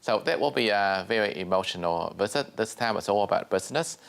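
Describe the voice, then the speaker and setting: A very deep-voiced man from Vietnam hosts a business chat show. He talks in a relaxed, halting way, stopping often on fillers as he gathers his thoughts. English is his second language. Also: creaky. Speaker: host. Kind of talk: casual business interview.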